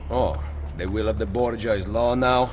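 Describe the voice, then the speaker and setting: An actor playing a determined, defiant Italian assassin in a game cutscene, speaking tersely and formally with an Italian accent. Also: male voice, fairly low pitch, fairly even intonation, moderate pace, darkish tone, natural voice. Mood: curt, challenging. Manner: firm, terse, formal phrasing. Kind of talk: video game cutscene acting. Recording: game music behind the voice. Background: music.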